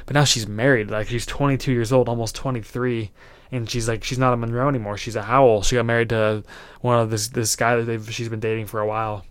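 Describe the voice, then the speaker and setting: A man's voice: mid-range voice, little pitch movement, quick pace, clear, resonant tone, no echo. A man in his twenties hosting a solo podcast, giving earnest motivational advice in a loose, rambling way with lots of fillers. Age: 20s. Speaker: podcast host.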